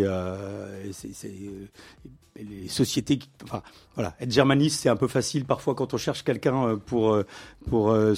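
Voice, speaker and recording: A man's voice: lowish voice, darkish tone. Hesitant middle-aged man telling his career. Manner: hesitant, many fillers and restarts, livens up when amused. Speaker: guest, business owner. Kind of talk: conversational interview.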